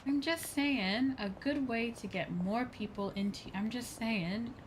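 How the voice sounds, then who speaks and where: A woman's voice: a little high, unhurried, slight background noise, poor audio. A woman doing casual gameplay commentary, musing aloud to herself and often apologetic. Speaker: streamer playing a video game. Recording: faint game music behind. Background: video game music.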